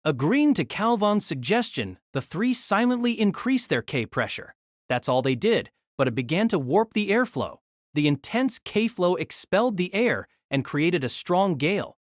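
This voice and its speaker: A man narrates a scripted fantasy tale in a fairly high voice. His intonation rises and falls with great variety. The sound is close and very dry. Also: big pitch swings, normal speed, no echo. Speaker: narrator of a fantasy audio drama.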